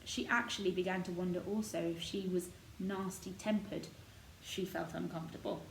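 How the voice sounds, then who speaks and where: A woman's voice: on the low side, fairly even intonation, moderate pace, gravelly. A woman reading scripted narration from a children's novel. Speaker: storybook reader. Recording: roomy echo, noticeable background noise.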